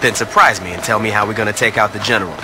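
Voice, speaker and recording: A man's voice: on the low side, racing, background noise, close to an everyday voice. An actor playing a brash, impatient male gang boss in a video game, blunt, sardonic and foul-mouthed. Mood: wry. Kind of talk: video game cutscene acting.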